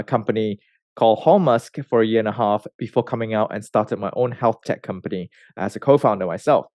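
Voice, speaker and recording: A man's voice: medium pitch, no room sound. Malaysian-raised man in a dry podcast interview. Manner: relaxed, many fillers and restarts. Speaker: research scientist guest. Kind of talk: conversational interview answers.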